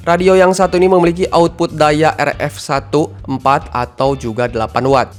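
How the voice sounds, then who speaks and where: A man reads a scripted product review in a promotional, informal way, addressing viewers as friends. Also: medium pitch. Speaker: product review channel narrator. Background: background music.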